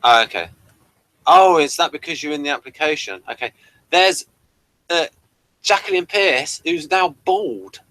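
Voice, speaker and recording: Casual online host: British male, unhurried and rambling, with a forward, bright tone. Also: on the high side, expressive, no echo, poor audio. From Britain. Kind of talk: casual review chat. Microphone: webcam livestream setup.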